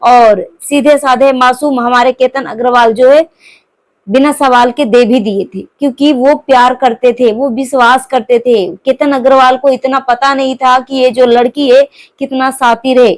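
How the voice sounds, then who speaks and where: A woman commentator talking through a crime news case informally, explaining it to viewers with repeated verbal tags. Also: sits high.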